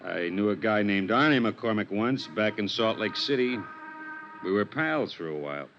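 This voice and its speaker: Casting: wary petty thief, low voice, slangy and guarded, vintage radio crime drama with dull old sound. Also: male voice, animated, moderate pace, a bit bright. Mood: suspicious. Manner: slangy, casual tough-guy drawling talk. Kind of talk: 1950s radio drama acting. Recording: old muffled radio recording, music.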